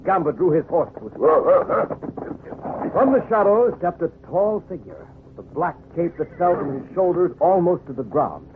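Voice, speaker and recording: A man's voice: a little high, normal speed. A man narrating a scripted adventure drama in an old-time radio style. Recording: tinny vintage radio, steady hiss.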